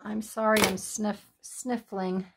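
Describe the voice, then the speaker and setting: A woman in her sixties chatting at home while she makes a collage at her craft table, with a breathy, sniffly voice, laughing asides and remarks to herself. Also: medium pitch, level tone, slow, noisy background. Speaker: craft channel host. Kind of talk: casual chat while making a collage. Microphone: overhead camera on the desk, hands only in frame.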